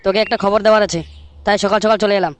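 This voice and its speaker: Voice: mid-range voice, fairly even intonation, very fast, heavy background noise, lightly acted. A performer voicing a foul-mouthed, irritable young male cartoon buddy in a comic dub. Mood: scheming, annoyed. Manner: crude, swearing, brash.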